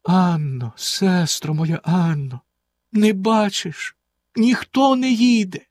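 A man's voice: a little slow, no echo, clean studio sound. A man voicing a desperate young wife begging for time. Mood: pleading. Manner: high pleading cries. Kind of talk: storybook reading.

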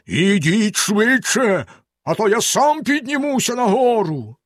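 A man voicing a cruel, cold husband who speaks slowly and sternly with menace. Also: high voice, big pitch swings, no room sound, clean studio sound, voice pushed up, exaggerated voice. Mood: bellowing. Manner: slow, stern, threatening delivery.

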